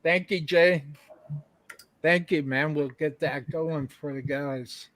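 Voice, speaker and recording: Slow-talking upbeat livestream host man. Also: on the high side, steady tone, fairly bright tone. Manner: upbeat, reads out chat messages, laughs often. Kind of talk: casual live-stream interview chat.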